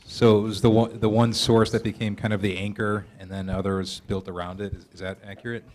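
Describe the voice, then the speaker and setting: A man with a lowish voice moderates a live event. He introduces what comes next and puts questions to the performers. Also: little pitch movement, fairly quick, darkish tone, a little hiss or hum. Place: live readers theater event at a college library.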